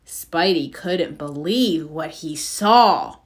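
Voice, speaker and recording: Female narrator for early-reader storybooks: expressive, unhurried, clearly phrased, with lively intonation. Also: deep voice. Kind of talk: children's read-aloud, scripted narration.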